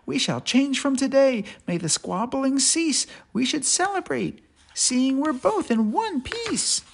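A woman voicing Bruce, a shouty hoarding squirrel. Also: high voice, animated, slow pace, gravelly, exaggerated voice. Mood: sheepish. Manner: shouting, bossy, then apologetic. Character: fussy male squirrel who hoards, later sheepish.

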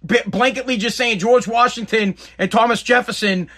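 A man's voice: very high-pitched, fairly even intonation, normal speed, pushed and bright. A man, hosting a live podcast in a blunt, profane rant. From Boston area, New England. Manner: ranting, blunt, profane, repeats himself. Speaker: podcast host.

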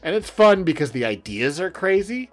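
A man's voice: high voice, sing-song, normal speed. A man hosting a podcast in casual, joking chat, with an irreverent, sweary way of talking. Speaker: podcast host.

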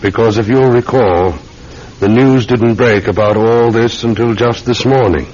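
A man's voice: mid-range voice, measured pace, a little mellow, lightly acted. A man acting an earnest, methodical Scotland Yard sergeant in a classic radio drama, questioning politely and insistently. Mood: earnest, probing. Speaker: actor in a radio mystery serial. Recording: muffled old radio recording with faint hiss.

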